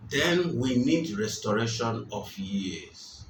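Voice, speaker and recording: A man preaching a sermon, speaking slowly and with emphasis, repeating his key phrases. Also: mid-range voice, expressive, slight background noise. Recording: roomy, distant mic, faint ambience.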